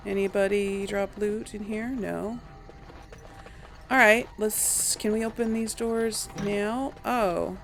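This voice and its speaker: A woman's voice: mid-range voice, fairly even intonation, slow pace, slight background noise. A woman giving casual let's play commentary on a video game, thinking aloud as she plays. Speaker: gamer narrating a role-play playthrough.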